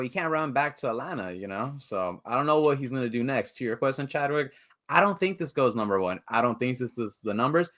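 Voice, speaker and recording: A man's voice: medium pitch, fairly bright tone. A man podcasting in casual chat, giving his opinions with emphasis. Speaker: podcast host.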